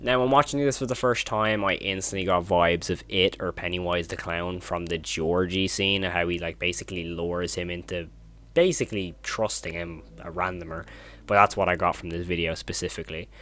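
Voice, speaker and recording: Low-voiced man giving casual commentary. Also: steady tone, normal speed, fairly bright tone, a little hiss or hum. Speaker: host of a horror video commentary channel. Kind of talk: casual reaction commentary and retelling.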